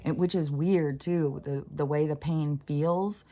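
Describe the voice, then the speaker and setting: A woman's voice: deep voice, fairly even intonation, unhurried, dark tone. A woman with a scratchy voice, hosting her own spiritual channel in a loose, rambling monologue that drifts off mid-thought and swears freely.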